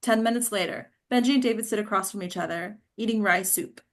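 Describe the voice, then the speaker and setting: A woman's voice: medium pitch, fast talker. A woman narrating the stage directions at a script table read, occasionally stumbling over words.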